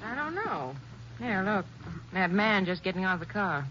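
An actress playing a loyal, concerned nurse, animated and solicitous, in a 1950s radio drama. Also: female voice, medium pitch, normal speed. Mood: anxious. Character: loyal, concerned young-sounding office nurse. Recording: muffled old radio broadcast, faint noise.

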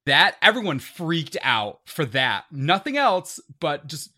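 Bright-voiced New man, animated. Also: on the high side, slow. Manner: animated, filler-heavy storytelling. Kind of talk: casual chat.

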